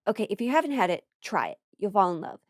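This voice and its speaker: An American woman with a mid-pitched voice performs a casual comedy monologue. She tells her story playfully, with theatrical emphasis and mock-serious asides. The sound is dry and free of room echo. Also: sing-song, fast talker.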